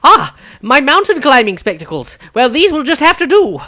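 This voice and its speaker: A man voicing an eccentric, excitable wizard inventor in a fantasy audiobook, exclaiming to himself. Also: very high-pitched, normal speed, pitched above the performer's own voice, heavily acted. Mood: eager muttering.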